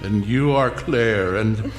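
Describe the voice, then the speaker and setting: An actor playing a seductive, coldly pious priest in a theatrical live audio drama, with a coaxing and declaiming delivery. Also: male voice, fairly low pitch, takes it slowly. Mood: coaxing, fervent. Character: seductive, cold, sanctimonious priest who betrays his lover. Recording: echoey live theatre stage with music.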